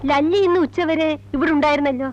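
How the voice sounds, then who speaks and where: A woman's voice: high voice, steady tone, quick pace, natural voice. A middle-aged actress playing a strict, anxious, wounded mother in a Malayalam film drama, speaking in a firm, emotionally weighted way. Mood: questioning. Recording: dull old film soundtrack with music.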